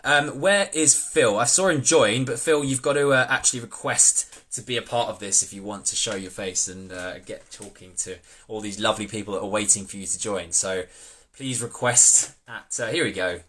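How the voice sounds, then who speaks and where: A man's voice: on the low side, moderate pace, fairly bright tone. A man hosting a live video chat, upbeat and friendly. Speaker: host. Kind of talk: casual live-stream chat.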